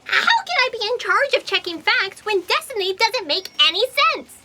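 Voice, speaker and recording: A woman's voice: extremely high pitch, sing-song, normal speed, pushed and bright, a little hiss or hum, full character voice. A woman voicing a fretful, self-blaming, childlike fact-checker with a whiny, distressed manner. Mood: despairing.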